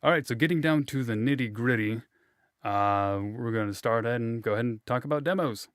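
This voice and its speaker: A man's voice: medium pitch, fairly quick, dry recording, clean studio sound. A man hosting an interview, asking playful questions in an easygoing, joking way with many fillers. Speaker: interviewer, host.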